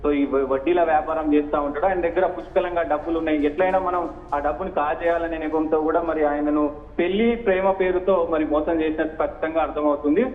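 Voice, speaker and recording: A man reporting and analysing news unscripted, in a halting, repetitive way. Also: on the high side, fairly even intonation. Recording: echoey hall, muffled phone-like line.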